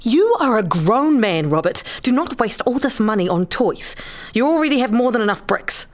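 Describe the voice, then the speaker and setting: A woman's voice: on the high side, big pitch swings, moderate pace. A woman playing an annoyed wife and mother chiding her grown husband in a radio play. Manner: exasperated, chiding.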